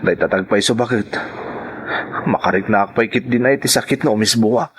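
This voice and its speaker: A man's voice: lowish voice, moderate pace, slightly rough. A man with an Ilocano accent playing an ailing, reluctant husband who frets about money in an audio drama. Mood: pained.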